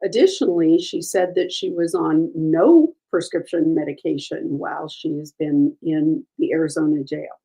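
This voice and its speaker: A woman hosting a true crime show, hoarse and gravelly from a lingering cold, going over a case with frequent ums and uhs. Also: sits low, moderate pace, mellow, low-quality recording. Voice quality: gravelly, froggy voice. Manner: careful, with many ums and uhs. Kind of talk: casual case update.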